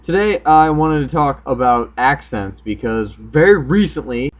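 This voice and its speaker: A man's voice: mid-range voice, animated, a little slow, dull audio. A man from Florida with a subtly Northern American accent, chatting casually and unscripted to camera in a wry, jokey, argumentative way. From Florida, United States. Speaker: online video host.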